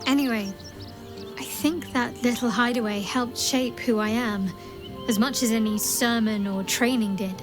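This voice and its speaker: A woman's voice: on the high side, steady tone, unhurried, music in the background, natural voice. A woman playing a guarded young cleric in a video game, talking in a wry, reflective way. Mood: wry reflection. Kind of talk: naturalistic video game character acting.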